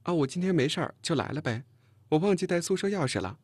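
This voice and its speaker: 男声扮演娇滴滴的年轻姑娘，音调偏高. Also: male voice, a little high, animated, quick pace, a little mellow, voice pushed up. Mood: coy. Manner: cutesy, coy. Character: sweet, clingy, pouting young woman. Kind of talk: audiobook character dialogue.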